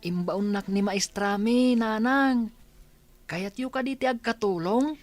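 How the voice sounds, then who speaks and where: A woman's voice: a little high, measured pace, dry recording, faint music behind, natural voice. A woman playing a young village schoolboy in a radio comedy-drama, an earnest, respectful and questioning son.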